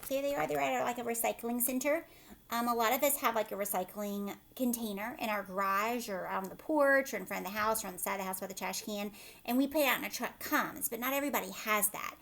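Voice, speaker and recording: A woman's voice: a little high, gravelly, fairly bright tone. A woman teacher reading a picture book aloud to children, expressively, with chatty commentary and questions along the way. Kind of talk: storybook read-aloud with casual commentary for children.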